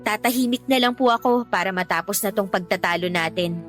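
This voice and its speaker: An actress playing a dutiful, weary young Filipino daughter who reasons respectfully but firmly in a radio drama. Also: female voice, medium pitch, a little fast, fairly bright tone, close to an everyday voice. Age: young adult. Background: background music.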